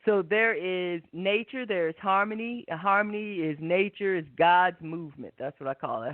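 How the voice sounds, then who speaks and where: A woman hosting a spiritual talk and guided meditation, speaking in an unhurried, reflective way and often checking for agreement. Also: lowish voice, no room sound. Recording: tinny narrow phone-like line.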